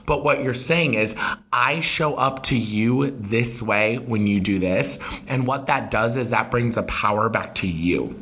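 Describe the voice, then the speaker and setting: Man co-host, raspy bright voice, explains slowly and acts out quotes. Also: mid-range voice. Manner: animated, explaining with acted-out quotes. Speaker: podcast co-host. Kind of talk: casual podcast chat.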